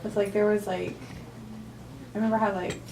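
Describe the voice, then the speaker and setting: Upbeat lady interviewer, kinda low voice, roomy echo, far from the mic. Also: flat delivery, a little fast, vocal fry. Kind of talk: casual interview prep. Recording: roomy, distant mic, noticeable noise.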